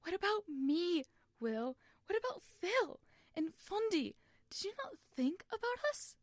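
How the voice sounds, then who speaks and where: A woman's voice: very high-pitched, very animated, measured pace, dry recording, studio quality, pitched above the performer's own voice, exaggerated voice. A woman voicing a hurt, furious young male ghost in a story, snapping accusations and pleading as one betrayed. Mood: hurt, accusing.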